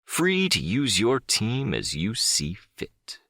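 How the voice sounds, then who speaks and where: A man's voice: fairly low pitch, wildly swooping pitch, takes it slowly, bright, forceful tone, no room sound, clean studio sound, exaggerated voice. A man playing a powerful, middle-aged crime boss in an audiobook drama, controlled, condescending and smugly self-assured. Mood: smug, commanding. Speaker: audiobook voice actor.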